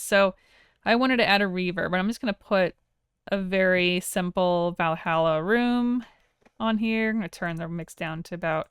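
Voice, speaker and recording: Very clear woman giving an informal tutorial. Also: fairly low pitch, fairly bright tone, dry recording, clean studio sound. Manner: explanatory, plain-spoken. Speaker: audio software instructor. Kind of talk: informal screen tutorial narration.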